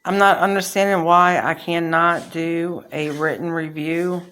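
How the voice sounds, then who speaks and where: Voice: mid-range voice, level tone, unhurried, fairly bright tone. A person talking through an unscripted product review.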